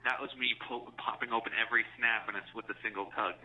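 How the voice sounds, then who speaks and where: A man chatting casually and intimately on a recorded jail phone call, halting and flirtatious. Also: mid-range voice, fairly even intonation.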